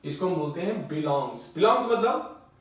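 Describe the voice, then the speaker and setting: A man's voice: high-pitched, lively intonation, normal speed. A man teaching a maths lesson, explaining patiently with everyday examples and repeating the key words. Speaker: maths tutor. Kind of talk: classroom lecture. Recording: echoey room, mic far off.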